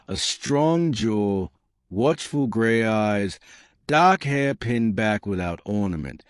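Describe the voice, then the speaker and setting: A man reading a historical romance as scripted narration, in measured, deliberate, literary phrasing. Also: fairly low pitch, no echo, studio quality. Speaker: audiobook narrator.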